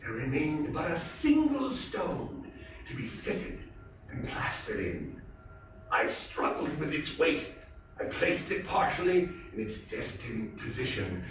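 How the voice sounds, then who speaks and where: A man giving a theatrical reading of Gothic horror, deliberate and full of dramatic pauses. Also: on the high side, sing-song, far from the mic. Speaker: stage actor, storyteller. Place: theatre stage, live performance. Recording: echoey theatre from afar, faint noise.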